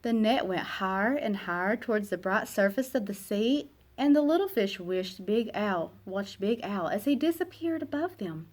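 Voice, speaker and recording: A woman narrating a children's picture book aloud in an expressive, dramatic way, with emphatic pauses and hushed phrase endings. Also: mid-range voice. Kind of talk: expressive storybook read-aloud.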